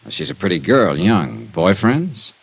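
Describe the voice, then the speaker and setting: An actor playing a calm, laconic male insurance investigator who also narrates, in a 1950s radio drama. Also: low voice, unhurried, gravelly, husky, dry recording, lo-fi sound, full character voice. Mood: patient. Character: cool, dry-witted male insurance investigator. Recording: old radio recording with music behind.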